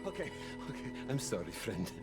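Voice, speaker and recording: An actor playing a haughty Italian crime boss held captive in a video game, speaking with an Italian accent. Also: male voice, on the low side, sing-song, fairly quick, creaky. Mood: pleading, panicked. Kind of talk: dramatic video game acting. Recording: music behind the voice.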